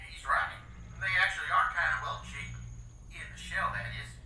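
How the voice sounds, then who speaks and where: A woman's voice: on the low side, big pitch swings, moderate pace, creaky, sharp tone, heavily acted. A woman playing a sardonic, exasperated spaceship crew member with a dry, emphatic delivery in a sci-fi comedy audio drama. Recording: roomy echo, faint noise.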